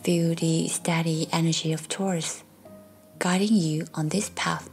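A woman guiding a meditation in a soft, breathy, half-whispered voice, speaking gently in measured short phrases with long pauses. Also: deep voice, steady tone. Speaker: meditation guide. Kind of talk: guided meditation, slow scripted narration. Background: faint background music.

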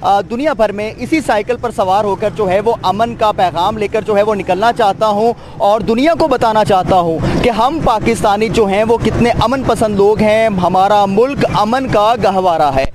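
High-voiced young man presenting outdoors. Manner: halting, many fillers, repetitive phrasing. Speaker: vlog host and interviewer. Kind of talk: street interview presenting. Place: busy roadside outdoors. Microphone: handheld mic. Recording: outdoor street with traffic noise.

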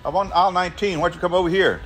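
A man's voice: sits high, fairly even intonation, very fast, music in the background. An older American man talking spontaneously as a customer in a store. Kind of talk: animated spontaneous talk. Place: hardware store aisle.

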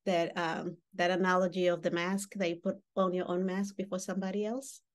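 A woman in her thirties, who grew up in Uganda, leading an online workshop on a video call and talking directly to the participants. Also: on the low side. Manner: animated, gestures while speaking, engages participants directly. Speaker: workshop facilitator. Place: home room with wall baskets. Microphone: webcam on a video call.

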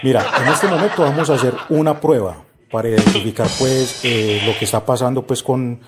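A man's voice: mid-range voice, brisk, over loud music. A Colombian man making a prank phone call, speaking politely and with mock earnestness like an official running a survey. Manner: polite, feigned earnest, flattering. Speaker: prank caller. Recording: very noisy telephone call recording.

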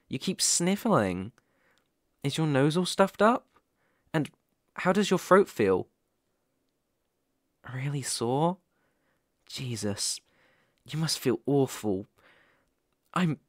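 A man's voice: fairly high pitch, no room sound, studio quality. A man playing a caring, worried boyfriend in an ASMR roleplay, talking in a soothing, tender, reassuring way. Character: caring, worried boyfriend fussing tenderly over sick partner.

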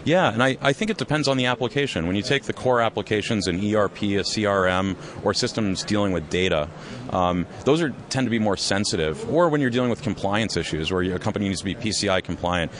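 A man who co-founded a software company, explaining his business in a measured, conversational interview at a trade expo. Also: on the low side, expressive. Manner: measured, explanatory, with frequent restarts.

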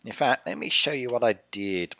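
Chatty man streamer, bright dry voice. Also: medium pitch, lively intonation, normal speed, creaky, no room sound. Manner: chatty, playful, reads chat aloud and teases viewers. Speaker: game streamer. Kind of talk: casual live-stream chat. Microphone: headset with desk mic.

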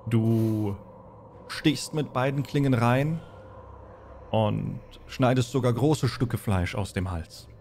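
A man acting as game master, narrating a tabletop fantasy horror game in a deliberate, descriptive way. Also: medium pitch, a little mellow, slight background noise. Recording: music under the voice. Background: background music.

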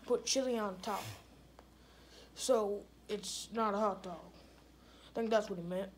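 A woman's voice: mid-range voice, a little slow, slight background noise. A woman doing an improvised comedy skit with plush toys on a living room couch, with a drawling, mumbly delivery. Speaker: puppeteer voicing plush toys.

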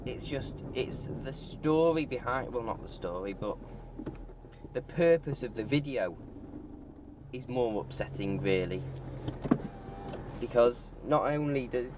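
A man's voice: medium pitch, expressive, slow pace, pauses often. A man giving a casual vlog monologue in a hesitant, self-correcting way while driving. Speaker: vlogger. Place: inside a moving car. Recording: loud car engine and road noise. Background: car and road noise.

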